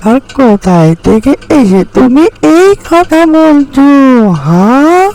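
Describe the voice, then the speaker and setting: Small female tailorbird, played by a woman for a fairy-tale cartoon: high voice, slow deliberate delivery, indignant but kindly. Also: very animated, mellow, exaggerated voice, low-quality recording.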